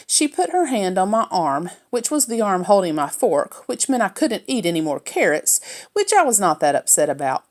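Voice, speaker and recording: A woman's voice: mid-range voice, big pitch swings, normal speed, darkish tone. A woman reading a children's novel aloud as a first-person narrator.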